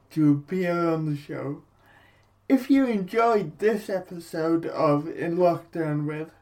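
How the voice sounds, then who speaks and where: A man's voice: on the high side, a little mellow. A man hosting an interview podcast in a conversational style. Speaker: podcast host.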